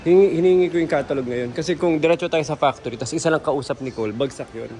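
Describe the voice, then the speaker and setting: A man's voice: fairly high pitch, fast talker, dark tone, a little hiss or hum. A Filipino man in his thirties giving a chatty, teasing tour of a big indoor furniture mall, recorded on a camera held close as he walks. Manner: chatty, teasing, tour-guide banter mixing Tagalog and English. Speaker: vlogger. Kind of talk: casual vlog narration. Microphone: handheld camera held close.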